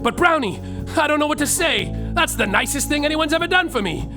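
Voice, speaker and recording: An actor playing a cocky, swaggering space detective who narrates his own story deadpan and pleads frantically, on a live theater stage. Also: very high voice, brisk. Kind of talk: comic musical podcast narration. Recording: guitar music behind a live stage.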